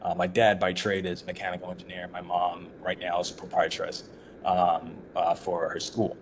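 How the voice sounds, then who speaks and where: A man from Ghana, a startup founder, talking as a guest in a casual interview, halting and restarting often with many fillers. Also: deep voice, monotone, background noise.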